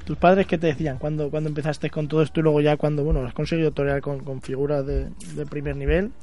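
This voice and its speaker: Fast, higher-pitched Spanish man hosting radio. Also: flat delivery, dark tone. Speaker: radio show host. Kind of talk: casual radio interview.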